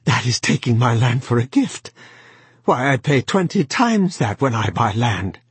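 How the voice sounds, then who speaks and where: A man's voice: medium pitch, sing-song, a little slow, slightly rough, no room sound, voice pushed up, full character voice. A man voicing a desperate starving peasant farmer who shrieks in defiance. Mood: furious.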